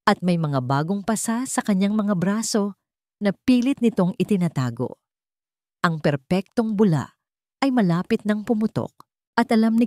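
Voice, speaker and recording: A woman with a fairly low voice narrates a scripted romantic drama. She reads as a storyteller in dramatic style, recorded dry with no room sound. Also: a little mellow, dry recording.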